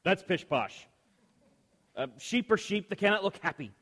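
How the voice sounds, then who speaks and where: Gruff grumpy woodcarver put on by a woman. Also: medium pitch, very animated, normal speed, fairly bright tone. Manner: gruff, curt, dismissive. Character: gruff grumbling old male woodcarver. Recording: echoey room, long reverb, faint hiss.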